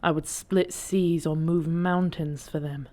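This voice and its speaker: A woman's voice: deep voice, steady tone, a little slow, warm tone. A woman playing an earnest, devoted dragon princess and commander in an audio drama, speaking in solemn, formal phrases. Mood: fierce devotion. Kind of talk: scripted audio roleplay drama.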